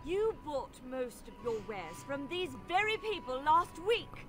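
An actress playing an earnest, righteous priest in a fantasy video game, with a solemn, formal way of speaking. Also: female voice, high voice, big pitch swings, a little slow, fairly bright tone, full character voice. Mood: indignant. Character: earnest, righteous female priest defending the needy. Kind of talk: video game character acting.

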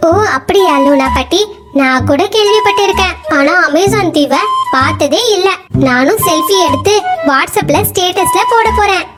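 Woman doing a very high, eager little sparrow. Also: expressive, normal speed, loud music behind the voice. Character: small eager young sparrow bird.

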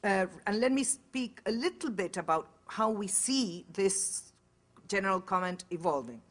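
A woman from Chile giving a formal conference keynote, speaking in a measured way, with tag questions and frequent self-corrections. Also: medium pitch, expressive.

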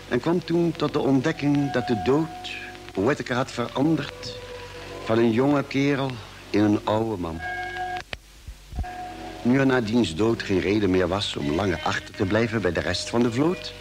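A man as an actor playing a space crewman who narrates a radio drama in a measured, grave way. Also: mid-range voice, natural voice, low-quality recording. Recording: vintage radio recording, music behind.

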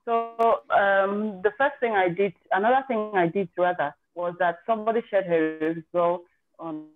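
A woman's voice: mid-range voice, normal speed. A woman speaking over a video call, her voice thin and phone-like. She tells her story in a candid, chatty way, circling back to fix her wording as she goes. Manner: chatty and candid, with self-corrections. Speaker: participant sharing her exam success story.

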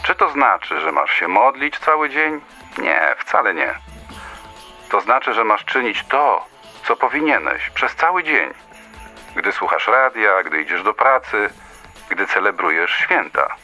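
A man's voice: fairly low pitch, big pitch swings, a little slow, pushed and bright, faint music behind, exaggerated voice. An actor playing a kindly, didactic holy man who preaches in a radio play. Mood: earnest, exhorting. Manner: didactic, question-and-answer preaching.

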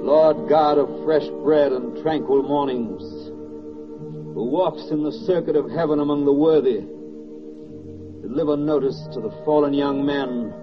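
A man acting as a grave, prayerful narrator of a wartime radio play. His voice sits a little high, and he declaims with stately, measured reverence. An orchestra swells behind him on a dull vintage recording. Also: over music, lightly acted. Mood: reverent, stately. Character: solemn male narrator praying over a war's end. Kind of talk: 1940s radio oratory, solemn dramatic narration. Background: orchestral score.

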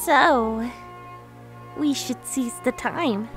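A woman voicing a sweet, excitable young female hedgehog, girlish and emotional, in a cartoon comic dub. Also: high voice, extremely slow, soft background music, poor audio. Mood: coy, playful. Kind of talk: fan comic dub acting.

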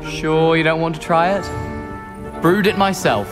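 A fairly high-voiced British teenage wizard in a fantasy game. He is friendly and chatty in short clipped phrases, over faint music. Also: fairly even intonation, slow pace. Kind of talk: scripted game dialogue.

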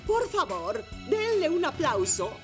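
A woman's voice: high-pitched, big pitch swings, measured pace, loud music behind the voice. A woman voicing a kindly older motorbike schoolteacher in a children's cartoon dub, formal and composed. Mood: cheerful, formal.

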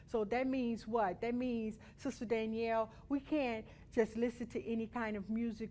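High-voiced woman teaching a children's Bible lesson. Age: middle-aged. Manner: instructive, emphatic, with check-in tags like "right?" and "okay?". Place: indoor studio or church room.

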